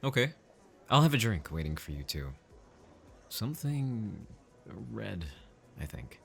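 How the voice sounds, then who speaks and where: A man playing an affectionate, easygoing father and husband, chipper and gently teasing, in an audio drama. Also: fairly low pitch, big pitch swings, a little slow, gravelly, full character voice. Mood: warm, playful.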